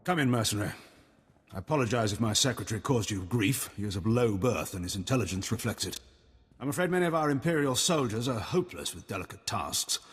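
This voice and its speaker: Haughty game admiral: middle-aged, slightly rough voice, icy formality, reverberant room. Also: male voice, on the low side, animated, fast. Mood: cold, condescending. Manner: formal, condescending. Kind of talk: video game voice acting.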